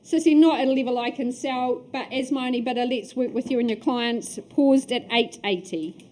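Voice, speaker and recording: A woman calls bids at a property auction. Her voice is high. She delivers quick, clipped calls. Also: steady tone, darkish tone, a little hiss or hum.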